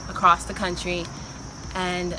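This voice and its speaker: A woman's voice: on the low side, completely flat delivery, slow, noisy background. A young adult woman from Nigeria, the treasurer, giving a sincere, composed testimonial. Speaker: fellowship treasurer. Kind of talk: personal testimonial. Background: clear background music.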